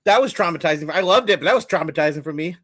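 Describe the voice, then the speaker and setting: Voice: mid-range voice, expressive, racing, a bit bright. A podcast co-host chatting casually, making crude jokes and sarcastic remarks. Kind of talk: casual chat.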